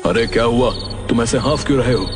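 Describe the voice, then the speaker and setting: A man acting a cartoon village friend, wary and blunt. His voice is medium in pitch, under loud music. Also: a little fast, lightly acted. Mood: concerned. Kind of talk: Hindi animated horror story acting.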